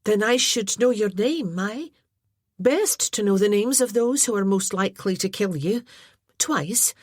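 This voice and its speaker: A woman's voice: medium pitch, lively intonation, measured pace, no echo, pitched above the performer's own voice. A woman voicing a fierce young lady of the manor with a dry, teasing, grave way of speaking. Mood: wry.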